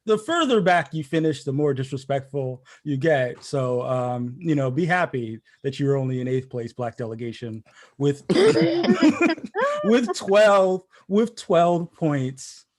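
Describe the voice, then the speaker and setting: A man hosting a casual podcast, joking around and rambling through many restarts. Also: fairly high pitch. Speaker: podcast host. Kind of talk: casual chat.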